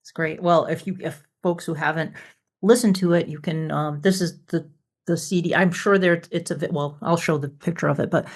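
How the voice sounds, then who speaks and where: A podcast host chatting casually, halting and backtracking while putting thoughts together. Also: medium pitch, fairly even intonation, breathy edge. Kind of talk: casual chat.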